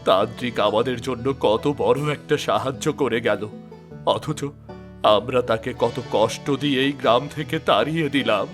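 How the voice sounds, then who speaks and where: A man's voice: medium pitch, moderate pace, faint music behind, natural voice. A man voicing an earnest village farmer.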